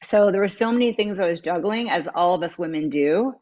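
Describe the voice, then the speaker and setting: Low-voiced woman in her fifties, muffled call audio. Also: brisk, a little mellow. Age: 50s. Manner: candid, reflective, meandering. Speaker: guest, matchmaker and dating coach. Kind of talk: candid interview conversation.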